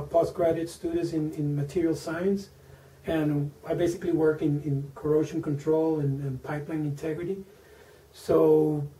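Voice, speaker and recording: A man in his forties from Venezuela with a Venezuelan Spanish accent, a native Spanish speaker, answering interview questions slowly, with many fillers and repeated words. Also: fairly high pitch, warm tone. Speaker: interview guest, engineer. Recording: echoey room, mic at a distance.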